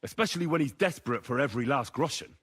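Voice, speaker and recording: A man's voice: mid-range voice, expressive, quick pace, a bit bright, husky. An actor playing a plain-spoken, self-assured but respectful young fighting man in a medieval video game. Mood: accusing. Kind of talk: dramatic video game acting.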